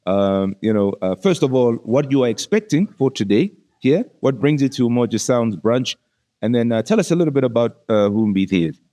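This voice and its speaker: A man's voice: medium pitch, expressive, brisk, warm tone. A man hosting a podcast, chatting casually with a guest in slangy, familiar language. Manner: uses slangy, familiar address like "bro". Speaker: podcast host interviewing a musician. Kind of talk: casual conversational interview.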